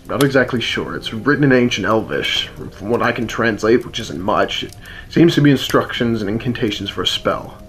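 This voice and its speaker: A man acting a wry, world-weary, gruffly protective rebel in a fantasy radio play, with a teasing, matter-of-fact manner. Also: lowish voice, expressive, brisk, fairly bright tone. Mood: wry, guarded. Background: music under the voice.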